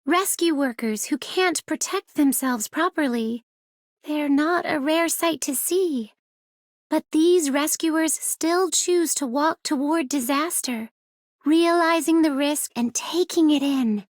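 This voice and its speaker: An actress playing a gentle, solemn, idealistic woman in an anime game, speaking reflectively. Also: sits high, takes it slowly, no room sound, studio quality. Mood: solemn, hopeful. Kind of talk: scripted game character lines.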